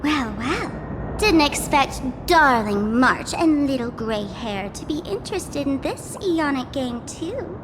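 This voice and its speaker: A woman's voice: high voice, animated, slow, big-room sound, noisy background. A woman voicing a mischievous masked jester woman in a video game, speaking in a teasing, theatrical, smug way. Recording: game cutscene with loud music.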